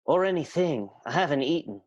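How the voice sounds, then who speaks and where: An actor playing a smitten, hammy strongman suitor, halting and flustered, in an improvised comic radio soap. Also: fairly high pitch, animated, a little mellow, dull audio. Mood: flustered, eager.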